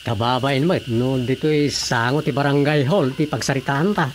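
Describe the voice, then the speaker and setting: A man's voice: mid-range voice, normal speed, natural voice. A man playing a chatty, inquisitive village councillor with a Filipino accent in comedic radio drama dialogue. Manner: questioning, conversational. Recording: faint background noise.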